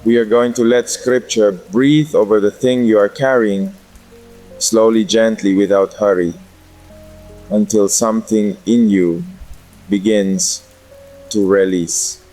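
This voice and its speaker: Low-voiced man guiding a gentle sleep meditation. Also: fairly even intonation, low-quality recording. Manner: slow, gentle, unhurried delivery with deliberate pauses. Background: music and rain.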